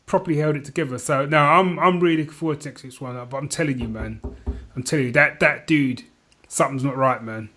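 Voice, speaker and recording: A man with a fairly high voice talks casually as a podcast co-host. He retells things in an animated, slangy way, punctuating his chat with little checks that his listener follows. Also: quick pace. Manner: animated retelling, slangy, peppered with "you know what I mean". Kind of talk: casual chat about TV shows.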